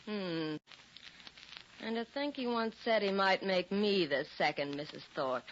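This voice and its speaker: An actress playing a wry, sardonic, gossipy receptionist in a 1940s radio drama. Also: female voice, medium pitch, expressive, a little slow, natural voice. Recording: muffled, hissy 1940s radio recording.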